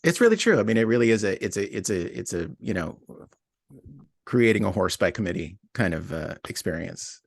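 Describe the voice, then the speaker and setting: A man speaking as an interview guest, talking casually and reflectively about his writing work, thinking aloud in halting fragments. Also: on the low side, big pitch swings, creaky, no room sound, clean studio sound. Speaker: audio drama writer and producer, interview guest.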